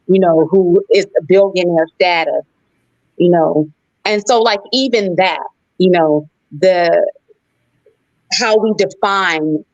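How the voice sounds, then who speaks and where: A woman co-hosting a discussion on a video call, talking in a measured way with many "you know" fillers. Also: fairly low pitch, darkish tone, no room sound. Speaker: co-host. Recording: dull, dry video call audio.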